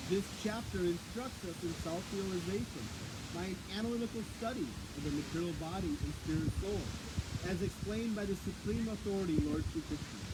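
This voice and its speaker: A man's voice: high-pitched, fairly quick. A man giving a scripture class outdoors on a battery microphone, talking conversationally and asking his listeners questions. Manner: conversational, asks the audience questions. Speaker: spiritual teacher. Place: outdoors, windy. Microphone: battery microphone, cutting in and out. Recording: wind and outdoor noise, loud.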